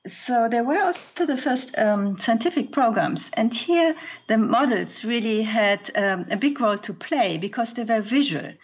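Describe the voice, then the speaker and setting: A woman's voice: on the high side, lively intonation, measured pace, hardly any pauses, highs cut off. A woman historian of science explaining conversationally as an interview guest.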